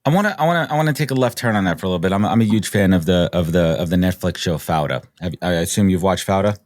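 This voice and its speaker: A man's voice: sits low, fast talker, darkish tone, no echo. A man hosting a business podcast, enthusiastic, often restarting and repeating words. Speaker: podcast host. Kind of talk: casual interview chat.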